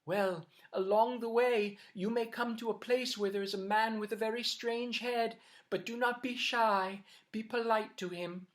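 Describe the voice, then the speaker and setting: A woman voicing a wise old woman of the forest who gives calm, measured advice. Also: fairly high pitch, voice pushed up, lightly acted. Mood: advising. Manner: measured, advising.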